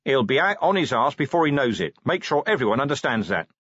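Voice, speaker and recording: A man's voice: mid-range voice, very animated, quick pace, a bit bright, no echo, lo-fi sound, voice pushed up. A man voicing a weary, sardonic police commander in an audiobook, blunt, dry and impatient. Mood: weary, stern. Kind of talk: audiobook character acting.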